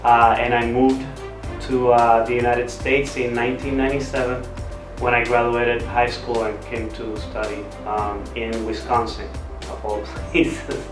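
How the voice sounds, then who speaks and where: A man speaks at a middle pitch in a relaxed, self-amused way. He is picked up from a distance in an echoing room, with music running underneath. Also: a little slow. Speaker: interviewee. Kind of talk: personal story interview.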